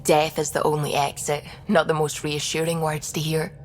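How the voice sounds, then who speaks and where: A woman playing a caring, wry ship's doctor in video game dialogue, speaking gently. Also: low voice, level tone, normal speed, a little hiss or hum, lightly acted. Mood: concerned, wry.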